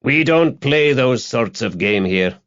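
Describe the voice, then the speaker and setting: A man's voice: mid-range voice, lively intonation, slow, fairly bright tone, dull audio, voice pushed up. A man voicing a hard-drinking, cynical male pub regular in an audiobook. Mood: indignant, demanding.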